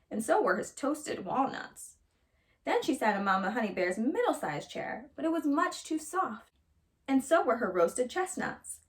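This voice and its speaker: A woman storyteller reading a children's fairytale aloud with expressive narration. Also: a little high.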